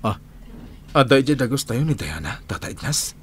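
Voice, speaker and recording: A man's voice: mid-range voice, sing-song, moderate pace, a little hiss or hum, natural voice. A man with an Ilocano accent acting a grim, resolute hero in a radio drama. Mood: grave. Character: young hero Ruben, grim and resolute interrogator. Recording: dramatic music behind the voice.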